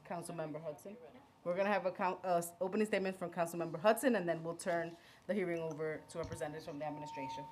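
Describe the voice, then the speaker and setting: A woman's voice: lowish voice, darkish tone, slight background noise. A woman with a Dominican accent chairing a city council hearing, informal and stumbling a little over names. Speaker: committee chair.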